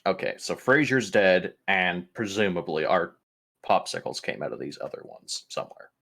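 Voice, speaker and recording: A man's voice: low voice, very animated, moderate pace, gravelly, a bit bright. A man chatting casually as a player at a tabletop roleplaying game.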